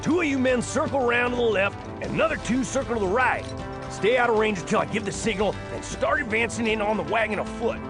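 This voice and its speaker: A cunning American gang leader in an Old West audio drama. His high voice moves with animated swings, folksy and ruthless. Faint music runs underneath. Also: quick pace. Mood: commanding.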